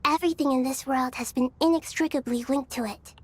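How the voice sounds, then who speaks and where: Gentle childlike goddess voiced by a woman, high voice. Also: a crowd in the background, lightly acted. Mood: grave, explaining. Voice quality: soft, youthful. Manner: formal, measured, earnest. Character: gentle, wise, childlike female deity. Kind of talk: video game dialogue. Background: background music.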